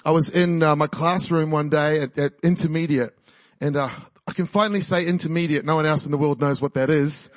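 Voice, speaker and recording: A man's voice: fairly high pitch, fairly even intonation, a little fast, no echo. A man in his forties with a New Zealand accent, a guest preacher giving a chatty, exuberant sermon and personal testimony in a church, often laughing and rallying the crowd.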